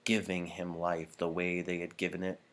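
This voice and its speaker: A man reading a novel aloud as narrator, with expressive scripted storytelling delivery. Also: deep voice, moderate pace.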